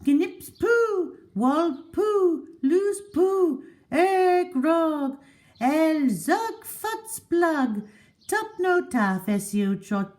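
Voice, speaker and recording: A woman's voice: high voice, a little mellow, pitched above the performer's own voice, heavily acted. A woman voicing a doting middle-aged lady who coos to her pet in a gushing, singsong way for a storybook.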